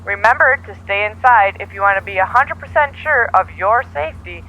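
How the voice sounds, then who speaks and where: An actor playing a chipper radio news announcer reading a bulletin through a radio filter in an audio drama. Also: male voice, sits high, fairly quick, muffled recording. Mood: brisk, upbeat. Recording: thin band-limited radio sound.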